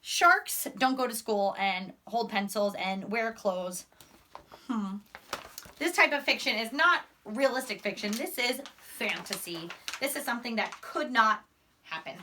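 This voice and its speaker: A woman's voice: a little high, lively intonation, unhurried, pushed and bright, slight background noise. A young woman teacher reading aloud to children expressively, with chatty asides, in a classroom. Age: young adult. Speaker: primary school teacher. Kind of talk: animated children's read-aloud. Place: small indoor classroom.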